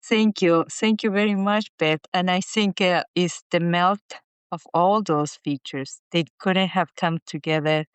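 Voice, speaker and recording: Middle-aged woman, smooth clear voice, dry close sound, halting restarts. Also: fairly low pitch, steady tone, no room sound, highs cut off. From Chile. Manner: halting, many restarts and repeated words. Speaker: guest, inventor. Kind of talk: casual interview talk.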